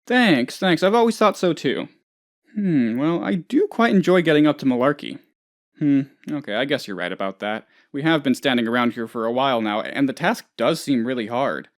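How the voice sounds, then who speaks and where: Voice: medium pitch, lively intonation, moderate pace, close to an everyday voice. An actor playing a dim, anxious, childishly self-important office boss in a comedic video game, rambling hesitantly and turning whiny when upset. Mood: sheepish, deadpan. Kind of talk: comedic game dialogue, voice lines.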